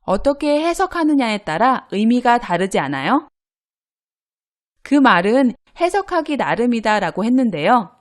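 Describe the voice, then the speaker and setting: Female teacher, grammar lesson, lively pitch, slightly high voice, clear and patient delivery. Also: unhurried. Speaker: Korean language teacher.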